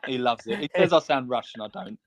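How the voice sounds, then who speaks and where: A man's voice: medium pitch, expressive, fast talker. A man co-hosting a podcast in casual chat, talking in a rambling, chatty way and laughing between phrases. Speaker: co-host.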